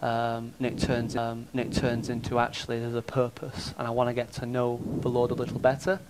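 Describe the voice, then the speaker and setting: A man's voice: medium pitch, monotone, a little fast. A man answering a question about church thoughtfully, with many "you know" fillers. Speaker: churchgoer guest. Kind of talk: reflective casual answer. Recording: noisy background.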